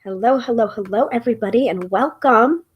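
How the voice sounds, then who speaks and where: A woman's voice: on the high side, fairly quick, highs cut off. Congested chatty woman on a live stream. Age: 40s. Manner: chatty, laughs often, many ums. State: congested, froggy voice. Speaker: tarot reader hosting a live show. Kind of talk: casual live-stream chat.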